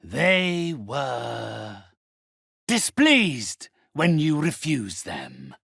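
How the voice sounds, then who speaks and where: Undead necromancer game villain, gravelly rasp, haughty, formal, menacingly polite, slow, very dry close sound. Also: male voice, medium pitch, wildly swooping pitch, fairly bright tone, no room sound, full character voice. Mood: aloof, courteous. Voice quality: raspy. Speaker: spirit medium. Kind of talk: theatrical video game villain acting.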